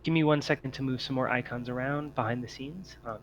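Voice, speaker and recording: A man running an online tabletop game as game master, narrating in a halting, informal way. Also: medium pitch, fairly even intonation, a bit bright. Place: online voice call. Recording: muffled audio with clear background noise.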